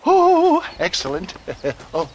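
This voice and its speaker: A man's voice: very high-pitched, huge pitch swings, slow pace, a little hiss or hum, heavily acted. A man playing an old, pompous, wheedling swindler in a video game, with florid salesman patter and stammering excuses. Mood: smug, scheming. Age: older. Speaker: elixir peddler. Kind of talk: dramatic video game cutscene acting.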